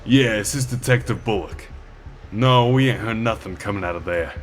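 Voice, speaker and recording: A man's voice: lowish voice, moderate pace, background noise, close to an everyday voice. A man playing a brash, hot-headed police detective in a motion comic, coarse and blustering in his talk. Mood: cocky, scornful. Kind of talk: dramatic motion comic acting. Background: music.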